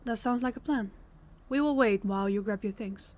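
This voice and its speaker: A woman playing a kind, practical vampire guide in an audio roleplay, speaking in a warm, reassuring manner. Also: medium pitch, normal speed, natural voice. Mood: upbeat, practical.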